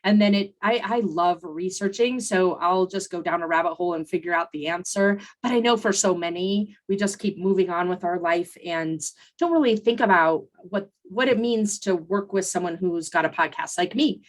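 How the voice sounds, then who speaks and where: A woman's voice: fairly low pitch, normal speed, hardly any pauses. A woman hosting a solo coaching podcast, talking conversationally with frequent fillers and restarts, her voice recovering after laryngitis. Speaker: podcast host and consultant coach.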